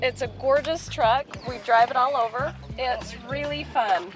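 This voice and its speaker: High-voiced friendly woman showing off. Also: a little slow, over music. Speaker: vehicle exhibitor. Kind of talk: casual on-location chat.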